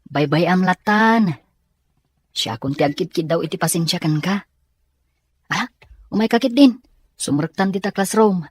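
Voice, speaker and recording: A woman's voice: on the low side, animated, normal speed, pauses often, a little mellow, husky, dry recording. A woman with an Ilocano accent playing a patient, placating young schoolmate in an audio drama.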